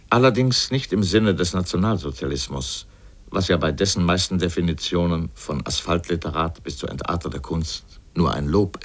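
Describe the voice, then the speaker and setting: A man delivering scripted literary commentary for radio in an erudite, formal and ironic manner. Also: low-pitched. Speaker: essayist narrator in a radio feature.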